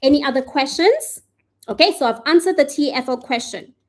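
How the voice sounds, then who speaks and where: A woman's voice: high-pitched, expressive. A woman hosting a live stream, answering viewer questions in a casual way and often checking in with "all right?" and "okay?". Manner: says she tends to speak too fast.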